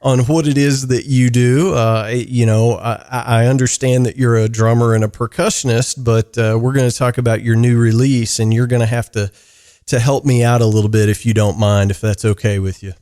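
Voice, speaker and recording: A man hosting a podcast interview in relaxed, easygoing chat, often stumbling over words and filling pauses. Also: mid-range voice. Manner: easygoing, lots of fillers and restarts. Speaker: podcast host.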